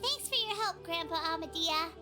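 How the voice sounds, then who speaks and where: Very high childlike fairy companion. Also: female voice, expressive, normal speed. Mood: puzzled, worried. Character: small childlike fairy companion, chatty, earnest. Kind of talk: scripted video game voice acting. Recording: game music behind the voice.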